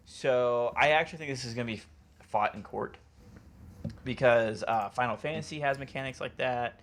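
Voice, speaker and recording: A man's voice: mid-range voice, normal speed, a bit bright, a little hiss or hum. A man co-hosting a podcast, chatting casually about video games and explaining things in a hesitant way. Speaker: podcast co-host.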